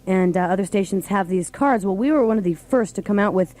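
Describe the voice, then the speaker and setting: A woman's voice: medium pitch, a little fast, a little mellow. An American woman hosting a radio show, chatting casually on air. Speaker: radio morning show host. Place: radio studio.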